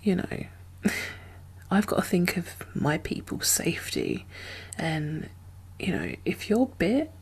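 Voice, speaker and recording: A woman speaking softly and often whispering in an ASMR roleplay, gentle and halting, as a guarded but caring apocalypse survivor. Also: lowish voice, very animated. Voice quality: soft, breathy, often whispered. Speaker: ASMR performer playing a role. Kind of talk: soft-spoken ASMR roleplay.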